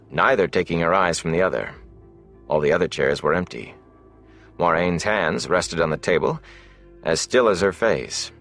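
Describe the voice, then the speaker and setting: A man's voice: low voice, a bit bright. A man reading scripted fantasy narration as an audiobook narrator.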